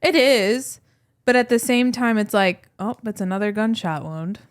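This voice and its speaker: A woman's voice: a little high, lively intonation, moderate pace, no echo, studio quality. A woman co-hosting a casual podcast, talking wryly and matter-of-factly about her work as a nurse. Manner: laughs often, wry and matter-of-fact. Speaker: co-host, emergency room nurse. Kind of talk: casual chat.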